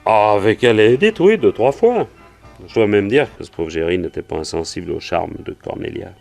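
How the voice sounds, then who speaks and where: A nervous, plain-spoken male witness in a radio crime drama. His voice is deep, and he talks colloquially with many hesitations. Music plays faintly behind him. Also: animated, brisk, creaky, a little mellow. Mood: explanatory. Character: Burns, anxious plain-spoken working man, a murder witness.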